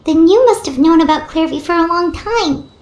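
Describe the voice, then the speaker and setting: Tiny game fairy: extremely high, squeaky childlike voice, plucky, blunt and inquisitive, roomy echo. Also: female voice, lively intonation, moderate pace, a little mellow. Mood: curious, probing. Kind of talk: cartoonish video game character acting.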